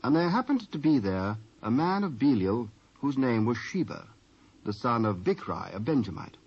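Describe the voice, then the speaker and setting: A British man narrating scripture from a script in a formal, measured storytelling manner. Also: medium pitch, very animated, a little mellow, slightly rough. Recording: muffled old cassette.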